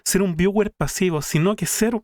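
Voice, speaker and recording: A man's voice: high voice, normal speed, a little mellow, no room sound. Thoughtful male streamer, dry close sound. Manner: thoughtful, musing aloud. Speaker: streamer reacting to a video. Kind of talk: casual reaction commentary.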